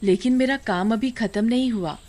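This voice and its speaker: A woman's voice: on the high side, normal speed, a little mellow, natural voice. A woman playing a young, submissive village daughter-in-law in a cartoon story, speaking politely and pleadingly. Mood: anxious. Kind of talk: Hindi animated horror story acting.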